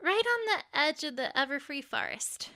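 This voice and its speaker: A woman playing a bashful, bookish young pony student in an audio drama, speaking earnestly and haltingly. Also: high-pitched, very animated, bright, forceful tone, heavily acted. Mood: flustered, bashful. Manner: halting, earnest speech with stammers. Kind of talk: audio drama fan fiction reading.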